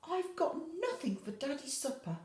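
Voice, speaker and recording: Polite young storybook mother, put on by a woman reader, sing-song and high, roomy sound. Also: big pitch swings, moderate pace, darkish tone. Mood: dismayed.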